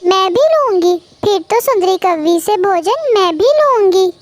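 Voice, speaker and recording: A woman's voice: very high-pitched, animated, a little slow. A woman voicing a curious, caring little female bird in a cartoon. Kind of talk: Hindi cartoon character acting.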